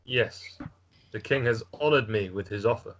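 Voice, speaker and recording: A man's voice: fairly low pitch, normal speed, natural voice, rough recording. A man playing a stern, guarded warrior lord, terse and blunt, in an amateur script table read.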